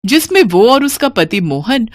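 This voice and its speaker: Female story narrator for cartoons: scripted read, fairly high, lively intonation. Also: a little slow, dry recording.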